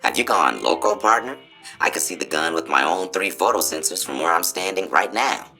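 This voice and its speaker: A man's voice: low voice, lively intonation, brisk, over music. A man playing a folksy, boastful cowboy robot spokesbot in a video game, with a showy American cowboy drawl. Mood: stubborn, folksy. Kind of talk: theatrical Old West tall-tale patter.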